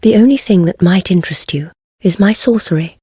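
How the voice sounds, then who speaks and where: An actress playing a wry, self-deprecating heretic sorceress in a dark fantasy game, with a husky, breathy voice and a languid, teasing, formal manner. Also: female voice, lowish voice, fairly quick, dry recording, clean studio sound. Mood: teasing, knowing.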